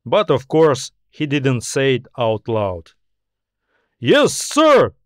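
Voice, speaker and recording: A man's voice: medium pitch, slow pace, no room sound, clean studio sound. A man narrating a scripted story as an audiobook author and reader.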